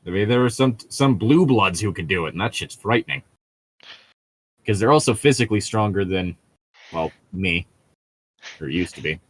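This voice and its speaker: A man's voice: on the low side, animated, moderate pace, pauses often, slightly rough, no room sound. A man riffing casually with friends in a sarcastic, exasperated and sweary way. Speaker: co-host of a comedic group read-along.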